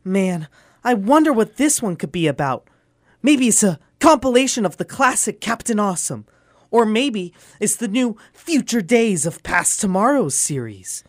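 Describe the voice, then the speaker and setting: A man's voice: high voice, big pitch swings, normal speed, dry recording, studio quality. A man playing an eager, geeky thirteen-year-old boy, a comic book fanatic, in an audio drama. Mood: excited. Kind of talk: hobby audio drama acting.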